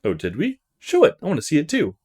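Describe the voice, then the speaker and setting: A man's voice: a little high, huge pitch swings, fast talker, darkish tone, breathy edge, voice pushed up, full character voice. A man voicing an eager, chatty young female university student in a visual novel. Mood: excited.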